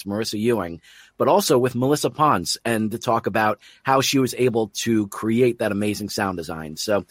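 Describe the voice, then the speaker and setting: A man's voice: mid-range voice, no echo. A man hosting an interview podcast in an enthusiastic, effusive manner. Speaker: podcast host.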